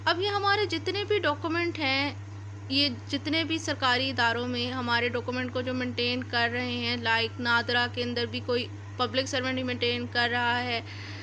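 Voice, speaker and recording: A woman's voice: on the high side, steady tone, moderate pace, sharp tone. A Pakistani woman giving an explanatory law lecture, restating each point in a didactic way. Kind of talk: explanatory lecture, reading clauses then explaining. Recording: faint background noise.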